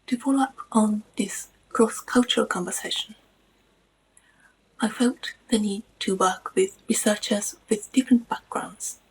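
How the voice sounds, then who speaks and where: A woman presents a scholarly project as its editor. Her voice is strongly breathy and husky, sitting at a middle pitch, with prepared, formal phrasing. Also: rough recording. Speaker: academic book editor.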